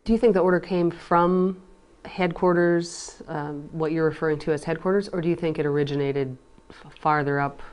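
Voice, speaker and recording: Woman, very low pitch, deliberate phrasing, interviewer, measured questioning. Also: steady tone, darkish tone.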